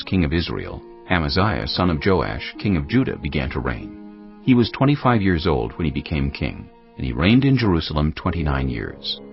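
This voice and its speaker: Man, extremely deep voice, gravelly, solemn scripted narration, soft music behind. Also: muffled recording.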